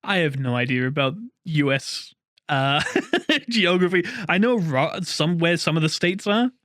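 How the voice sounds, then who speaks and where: High, lively young British man. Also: measured pace, no echo, studio quality. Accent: slightly posh British. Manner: rambling, laughs often, many restarts. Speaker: podcast co-host. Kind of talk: casual chat.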